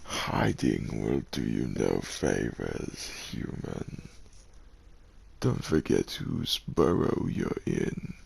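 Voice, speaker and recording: Monstrous male dragon, very slow, wildly swooping delivery, gravelly, husky, gloating, menacing, audio roleplay. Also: very deep voice, sing-song, darkish tone, no room sound, heavily acted. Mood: taunting menace. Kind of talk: dramatic audio roleplay acting.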